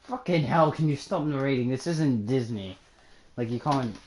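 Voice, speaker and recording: A woman's voice: extremely low pitch, animated, normal speed, darkish tone, slight background noise. A woman streamer reading game dialogue aloud and bantering with friends, exasperated and swearing often. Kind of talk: casual livestream game read-along. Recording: game music playing behind.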